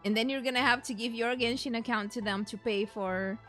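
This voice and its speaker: A woman's voice: on the high side, brisk, fairly bright tone. A woman doing casual, chatty and rambling let's play commentary as a gaming streamer. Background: faint game music.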